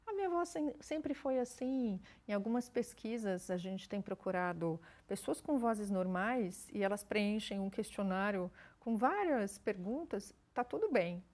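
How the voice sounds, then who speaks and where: Measured woman explaining voice care. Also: medium pitch, lively intonation, a little mellow. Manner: measured, explains with everyday examples. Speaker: speech therapist guest. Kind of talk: explanatory interview answers.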